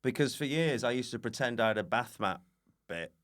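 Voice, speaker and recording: A man's voice: mid-range voice, expressive, a little fast, fairly bright tone. A man, a comedian guest, chatting casually and telling rambling, self-deprecating anecdotes.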